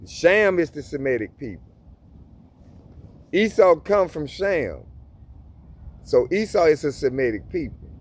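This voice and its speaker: A man's voice: fairly high pitch, a little mellow. A man giving informal Bible reading and commentary in a casual preaching manner, often restarting and repeating words. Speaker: Bible teacher.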